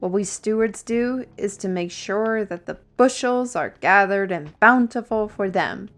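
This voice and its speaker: A woman's voice: medium pitch, measured pace, a bit bright, soft background music, natural voice. A woman playing a wry, weary fantasy artist-steward, talking directly to the listener in a scripted audio roleplay. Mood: guarded. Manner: wry, sighing, conversational, addressing the listener.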